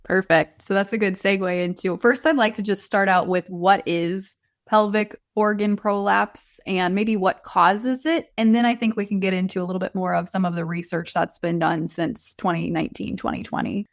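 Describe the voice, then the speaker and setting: A woman's voice: medium pitch, fairly even intonation, moderate pace. A woman hosting an interview podcast, presenting and steering the conversation. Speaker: podcast host. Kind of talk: podcast hosting, introductions and questions.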